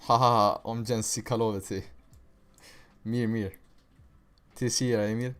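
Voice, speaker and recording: A man's voice: medium pitch, fairly even intonation, measured pace, fairly bright tone, smooth. A young man from Kosovo with an American-sounding accent, casually talking viewers through trading charts on a live stream, slangy, with frequent "bro" and "right?".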